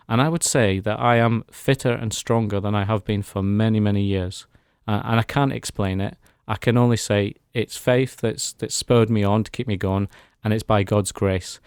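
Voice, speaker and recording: Man, radio interview guest, lower-pitched, dry close sound, reflective personal testimony. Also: darkish tone, clean tone, no room sound. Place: radio studio.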